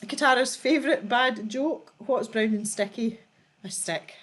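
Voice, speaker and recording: A woman's voice: a little high, a little slow, fairly bright tone. A woman hosting a casual livestream, reading out viewer jokes and laughing often as she chats. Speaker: livestream host.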